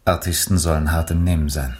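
Low-voiced, methodical police major. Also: male voice, steady tone, darkish tone. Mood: measured. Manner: deliberate, formal, matter-of-fact. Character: measured, methodical male police major investigating. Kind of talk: German radio crime drama acting.

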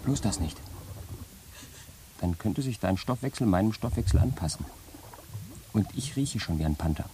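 Very deep, dark-voiced sardonic man actor. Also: expressive, normal speed, long pauses, natural voice. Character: sardonic stranger with ornate, mock-apologetic talk. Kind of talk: radio play acting, dialogue. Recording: faintly noisy 1980s radio play recording.

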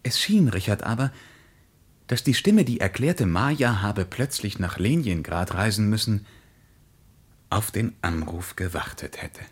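An actor playing a reflective traveller who recounts a journey in measured, deliberate words in a literary radio play. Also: male voice, lowish voice, expressive, husky.

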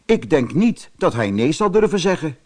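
A man's voice: a little high, sing-song, normal speed, darkish tone, voice pushed up. Een man die een sluwe, hongerige tijger speelt in een sprookje, vleierig, zelfingenomen en snel ongeduldig. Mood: smug. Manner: wheedling, self-satisfied, impatient when crossed. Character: sly, vain, hungry male tiger, falsely polite. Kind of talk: storybook character voice.

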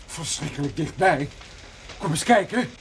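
An actor playing a weary, wry private detective in a radio drama, with a dry manner and hesitant, bursting delivery. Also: male voice, on the high side, animated, a little slow, noisy background. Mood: tense, wry. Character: wry male private detective, weary, jumpy, suspected in smuggling.

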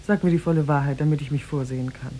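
A woman's voice: sits low, fairly even intonation, rapid-fire, warm tone, lightly acted. An actress playing a devoted, shrewd young slave woman in a 1950s German radio drama, pleading tenderly and theatrically. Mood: anxious, warning. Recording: noisy 1950s radio recording.

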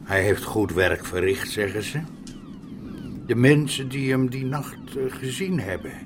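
An actor playing a frail, pious, kindly old village man in a radio drama, speaking in a slow, solemn, reflective way. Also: medium pitch, animated, noisy background, close to an everyday voice. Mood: solemn, admiring. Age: older. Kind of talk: Dutch radio drama acting.

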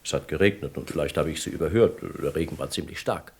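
A man's voice: very deep voice, quick pace, husky, heavily acted. An actor playing an earnest, hesitant millionaire recalling his kidnapping as a witness in a German radio crime drama. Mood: hesitant, recollecting.

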